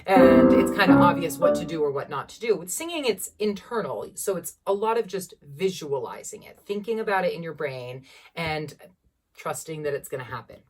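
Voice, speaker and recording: A young woman with a generally low voice, teaching a beginner singing lesson from a keyboard at home and encouraging students as she explains. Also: expressive, normal speed, darkish tone, breathy edge, far from the mic, faint music behind. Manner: encouraging, demonstrates vocal sounds between explanations. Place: small room at home, seated at a keyboard.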